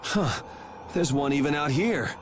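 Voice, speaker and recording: A man's voice: a little high, expressive, a little slow, a little hiss or hum. Cool, sardonic young swordsman. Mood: dry, casual. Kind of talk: anime video game dub acting.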